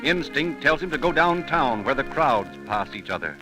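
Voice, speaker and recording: A man's voice: mid-range voice, big pitch swings, normal speed, low-quality recording. A man narrating a 1930s radio program in a solemn, theatrical storytelling style. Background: music.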